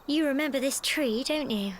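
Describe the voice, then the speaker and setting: Woman acting a high-voiced, sweet British village girl. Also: moderate pace, a little hiss or hum, natural voice. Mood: wistful. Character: sweet, spirited young village girl, loyal childhood friend. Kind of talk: scripted video game voice acting. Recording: loud music under the voice. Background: loud music.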